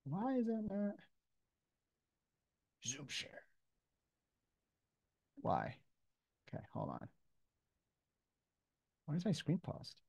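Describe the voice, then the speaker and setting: An American man with a somewhat high voice teaches an online class as a professor. He explains things in casual, everyday language. The sound is dry, with very little room in it. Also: huge pitch swings, very slow, vocal fry, long pauses, a little mellow, slightly rough, no echo. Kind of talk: informal online lecture. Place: video call, close dry sound.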